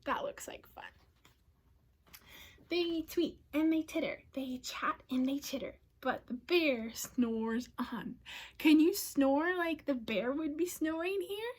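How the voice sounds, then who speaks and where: A woman reads a children's storybook aloud in a high voice. Her pace is very slow and her delivery expressive, often softening to a hush as she involves young listeners. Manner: expressive, often dropping to a breathy hush, asks listeners questions. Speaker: storybook reader. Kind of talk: children's read-aloud narration.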